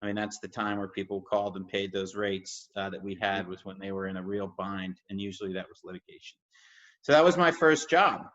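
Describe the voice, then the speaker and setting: A man's voice: deep voice, brisk, fairly bright tone. A man who grew up in New York, speaking as an interview guest in a casual, easygoing storytelling way. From New York, United States. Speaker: interview guest, construction analytics CEO. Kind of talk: casual conversational personal storytelling. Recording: dull, lo-fi sound.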